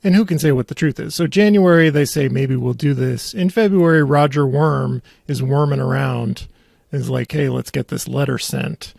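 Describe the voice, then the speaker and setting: A man's voice: medium pitch, moderate pace, creaky, darkish tone, no room sound, clean studio sound. A man hosting a casual podcast, talking informally with a wry manner. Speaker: podcast host. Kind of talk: casual conversational podcast commentary.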